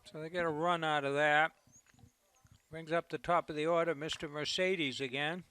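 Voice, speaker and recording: Man, local baseball play-by-play, fairly high pitch, voice going hoarse, low-key. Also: fairly even intonation, moderate pace, pushed and bright. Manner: low-key, conversational calls. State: losing his voice. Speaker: play-by-play commentator.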